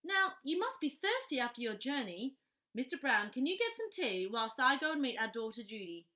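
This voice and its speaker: A woman voicing a kindly, excitable married woman in a children's story, motherly, exclamatory and decisive. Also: sits high, moderate pace, exaggerated voice.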